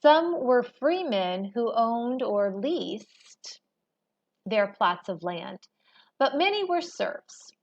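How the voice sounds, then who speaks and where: A woman reading a history textbook aloud as a teacher, in a measured, expository manner. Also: fairly high pitch, very animated, darkish tone. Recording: dull, lo-fi recording.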